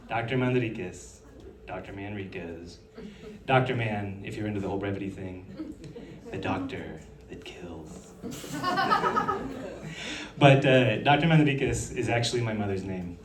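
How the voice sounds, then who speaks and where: Man, stand-up comedian, dry sarcastic delivery, lively swinging pitch, echoey hall, mic at a distance. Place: on stage in a hall. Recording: roomy hall, picked up from a distance.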